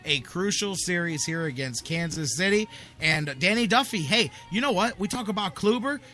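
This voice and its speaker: A man with a higher-pitched, bright and punchy American voice hosts a sports talk show. He speaks casually and slangily, hammering phrases by repeating them for emphasis. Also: expressive, normal speed. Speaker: sports talk radio host.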